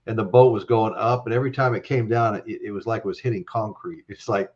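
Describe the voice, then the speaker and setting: A man's voice: on the low side, fairly even intonation, fast, a little mellow. Guy hosting a stream, rambly, laughs a lot, kinda dull lo-fi sound. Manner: rambling, laughs often, many fillers. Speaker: host. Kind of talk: casual live-stream chat.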